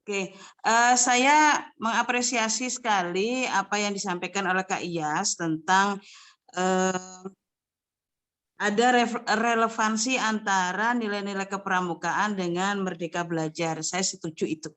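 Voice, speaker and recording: A woman scout leader asking a question over a video call, slow and searching for words. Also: medium pitch, fairly even intonation, fairly bright tone. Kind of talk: question from the audience.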